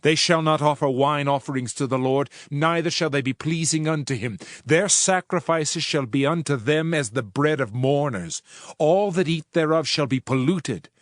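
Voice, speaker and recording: A man's voice: mid-range voice, normal speed, dry recording, clean studio sound, lightly acted. A man playing a solemn Old Testament prophet in a dramatized Bible reading, declaiming judgment in a grave, formal manner. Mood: grave, sorrowful. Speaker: scripture narrator. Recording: music under the voice. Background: music.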